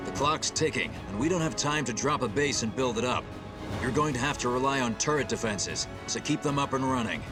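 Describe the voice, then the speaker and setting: A male actor plays a resolute military starship captain in a game cutscene. His mid-pitched voice is firm and authoritative, with loud music behind it. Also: fairly quick, close to an everyday voice. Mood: brisk, commanding. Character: resolute human starship captain, commanding, steady military leader. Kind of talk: dramatic video game cutscene acting.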